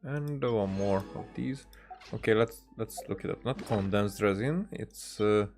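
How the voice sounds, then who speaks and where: A man's voice: on the low side, measured pace, a little mellow, faint music behind. A man doing casual live game commentary, hesitant and thinking aloud as he goes. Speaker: video game streamer.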